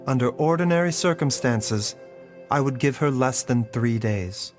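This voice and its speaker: Man playing a grave, measured doctor over music. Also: medium pitch, animated, natural voice. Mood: somber. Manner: measured, formal, deliberate. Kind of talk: video game voice acting.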